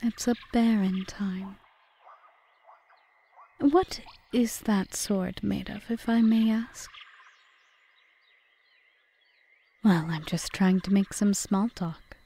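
A composed witch with a grievance , acted by a woman for an ASMR roleplay. Her voice is soft, dark and mid-pitched, often slipping toward a whisper. She lays out her terms slowly in measured, formal phrases. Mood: dry, curious. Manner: measured, formal, breathy half-whispered delivery. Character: cool, bargaining yandere witch, aggrieved yet composed. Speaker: audio roleplay performer.

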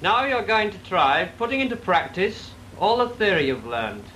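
A man's voice: sits high, very animated, moderate pace, sharp tone, exaggerated voice, low-quality recording. An actor playing a firm male officer briefing pilots, speaking emphatically in a 1940s training film. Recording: hissy 1940s film soundtrack.

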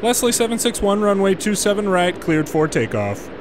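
A man doing gameplay commentary, mixing measured air traffic control phraseology with muttered casual asides. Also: high voice, big pitch swings. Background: game airport ambience.

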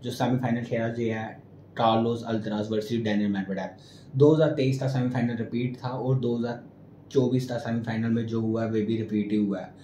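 A man's voice: medium pitch, steady tone, dark tone. Young man talking tennis, roomy echo. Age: young adult. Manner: brisk, chatty, addresses viewers as friends; gestures with hands while talking. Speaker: sports video host. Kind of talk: post-match tennis analysis to camera. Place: small indoor room with window blinds. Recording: roomy echo, faint room noise.

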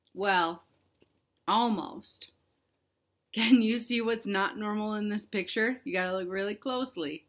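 A woman's voice: mid-range voice, pushed and bright. A young woman reading a picture book aloud to young children, expressively, with simple questions for the listeners. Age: young adult. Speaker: children's read-aloud host, teacher. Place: living room at home, indoors.

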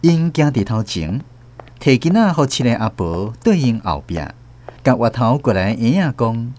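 A man acts as an expressive storyteller in a radio drama. His voice swings widely in pitch as he tells the tale in the first person, at a medium register. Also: normal speed, close to an everyday voice. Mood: narrating. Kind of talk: dramatic scripted narration in Taiwanese Hokkien.

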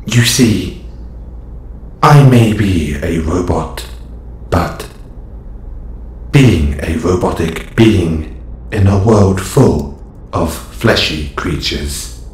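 Robot superhero played by a male actor, audio roleplay. Deep, formal, measured and composed, echoey space with faint noise. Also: a little mellow, heavily acted. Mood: earnest, wistful. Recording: roomy echo, slight background noise.

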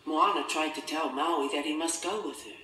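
Man, children's story narration, expressive, measured, distant mic, roomy echo. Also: fairly high pitch, slight background noise. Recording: roomy, distant, music behind.

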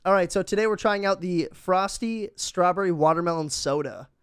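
A young man from the Midwest hosting a podcast in casual chat, relaxed and slangy, laughing often, on a boom-arm studio mic in a small room. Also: moderate pace, clean tone, no room sound. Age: young adult. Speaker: podcast host.

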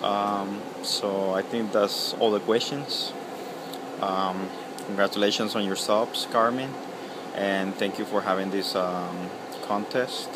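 A man in his thirties, from El Salvador, giving a halting, casual video reply outdoors beside a house, with lots of ums and uhs. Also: fairly low pitch, fairly even intonation, background noise. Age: 30s. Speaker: pipe-smoking video blogger. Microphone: webcam at close range.

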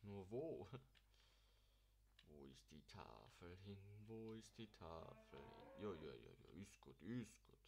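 A man's voice: low-pitched, very animated, takes it slowly, gravelly, background noise. A young man, casually commenting on a farming game while mumbling to himself, and he has a cold. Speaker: gaming channel host.

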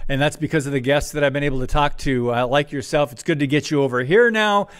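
A man hosts a talk show in a somewhat high, smooth, resonant voice. He is friendly and talks in quick bursts, and sometimes slows down for emphasis. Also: fairly bright tone. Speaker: podcast host. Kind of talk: interview host chat.

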